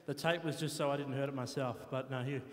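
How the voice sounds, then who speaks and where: A man's voice: medium pitch, fairly even intonation, fast. A man giving a casual, easygoing post-match interview. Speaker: competitor being interviewed. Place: competition arena. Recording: echoey hall with long reverb.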